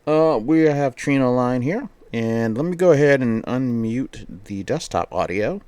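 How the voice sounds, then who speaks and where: A man streams games in a smooth, clean voice with a dry, close sound. He talks at an unhurried pace, rambling casually as he thinks aloud and circles back over his own phrases. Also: mid-range voice, expressive, darkish tone, no room sound. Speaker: game streamer. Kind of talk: casual livestream chat while setting up a game.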